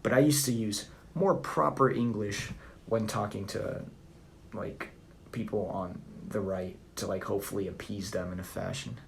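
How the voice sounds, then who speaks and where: A man's voice: on the low side, steady tone, normal speed, gravelly, a little hiss or hum. A young man with a Southern accent on a phone livestream at home, talking bluntly and profanely in a rambling way while answering chat. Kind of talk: casual live-stream chat reading comments.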